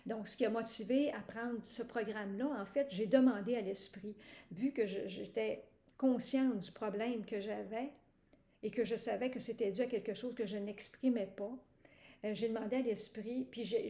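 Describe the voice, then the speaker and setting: Halting Québécoise woman, gravelly tone. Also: fairly high pitch, warm tone, echoey room. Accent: Québécois French. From Quebec, Canada. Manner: halting, many "euh" fillers and restarts. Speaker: program participant giving a testimonial. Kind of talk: hesitant personal testimonial.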